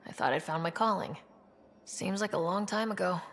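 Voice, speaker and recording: A woman playing a thoughtful, composed space expedition leader in a video game, speaking in a measured, conversational, gently probing way. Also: lowish voice, slight background noise. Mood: wistful. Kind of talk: video game cutscene dialogue.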